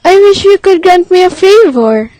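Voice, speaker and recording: Very high-pitched, earnest Life, acted by a woman. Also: expressive, moderate pace, mellow, no room sound, low-quality recording. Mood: pleading, earnest. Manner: earnest, pleading. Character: Life, pleading, tender-hearted, hopeful woman. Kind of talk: radio drama acting.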